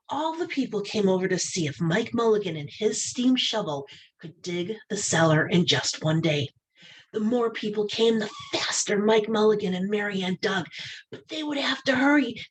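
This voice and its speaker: A woman reading a children's picture book aloud as an expressive storyteller, with animated, deliberate emphasis. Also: medium pitch, a little hiss or hum, rough recording.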